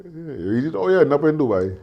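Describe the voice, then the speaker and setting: A man with a Trinidadian accent hosting a casual chat, speaking in relaxed local dialect. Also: fairly high pitch, brisk, creaky, muffled recording. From Trinidad and Tobago. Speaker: podcast host.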